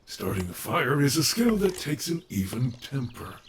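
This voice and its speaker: A man's voice: medium pitch, very animated, normal speed, gravelly, a little hiss or hum. A man voicing a cheerful, literal-minded animatronic bear in an audio roleplay, with earnest, formal wording. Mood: chipper. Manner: earnest, formal wordy phrasing.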